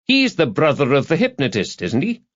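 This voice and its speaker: A man voicing a sharp, scruffy, cocky newspaper reporter who probes and swaggers bluntly. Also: fairly high pitch, huge pitch swings, fairly quick, lo-fi sound, pitched above the performer's own voice. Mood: probing.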